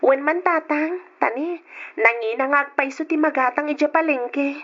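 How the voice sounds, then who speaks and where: A cheerful young woman student, as an actress plays her, high-voiced and quick to laugh. The recording is dull and lo-fi, like an old radio drama. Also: fairly bright tone. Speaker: student character.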